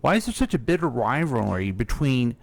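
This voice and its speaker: A man's voice: medium pitch, very animated, a little fast, creaky. A middle-aged man co-hosting a radio show in a casual, chatty style, putting questions and interjecting. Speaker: radio co-host asking questions. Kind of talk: casual chat, interjecting and joking.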